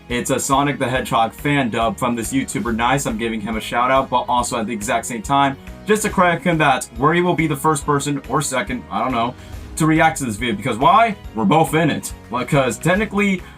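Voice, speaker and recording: An excitable young man gives casual reaction commentary as host. His mid-pitched voice moves up and down freely, with music faintly behind him. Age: young adult. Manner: excitable, breaks into mimicked voices and shouts. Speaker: host, amateur voice actor.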